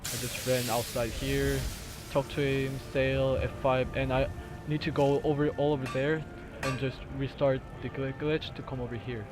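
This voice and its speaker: A man from Korea with a Korean accent, casually talking through a video game glitch, halting and searching for words in English. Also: medium pitch, level tone. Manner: halting, with fillers and restarts, laughs now and then. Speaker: gamer recording a tutorial. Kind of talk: casual gameplay commentary. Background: game music and sounds.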